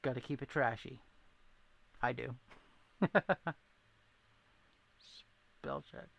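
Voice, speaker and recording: A man hosting a casual chat stream, with a light but clear Boston accent from his New England upbringing. His voice is gravelly, with fry at the ends of his phrases, and his pitch sits at an ordinary middle. He rambles, jokes at his own expense and keeps answering viewers. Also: steady tone, very slow, fairly bright tone. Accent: thin Boston accent. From New England, near Rhode Island. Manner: rambling, self-deprecating, reacts to chat. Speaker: livestream host.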